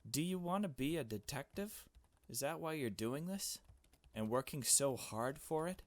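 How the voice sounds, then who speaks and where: A man's voice: a little high, lively intonation, moderate pace, no echo, voice pushed up, close to an everyday voice. A man voicing a curious, earnest small girl who narrates and asks questions in a visual novel game. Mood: probing. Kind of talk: visual novel dialogue read aloud.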